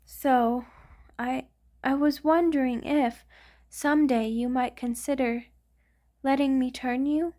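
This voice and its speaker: A woman playing an affectionate, refined vampire in an ASMR romantic roleplay, speaking tenderly and a little hesitantly, with gently formal wording. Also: sits high, steady tone, slow pace. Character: affectionate, refined vampire queen in love with a human.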